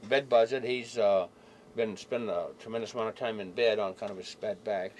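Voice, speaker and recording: A man's voice: a little fast, a little mellow. A man making remarks in casual, informal conversation. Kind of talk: casual chat. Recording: noisy amateur recording with vehicle rumble.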